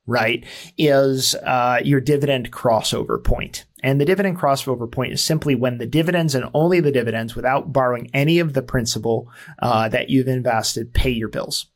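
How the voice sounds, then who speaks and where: A man in his forties, hosting a podcast and explaining ideas in an easygoing, self-deprecating way with frequent fillers. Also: medium pitch. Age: 40s. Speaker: podcast host. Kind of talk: casual explanatory talk.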